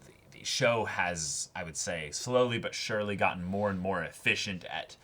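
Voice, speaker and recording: A man with a low-pitched voice hosts a casual reaction discussion. He talks with enthusiasm, using slangy and animated phrasing. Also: normal speed. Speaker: host of a reaction and discussion show.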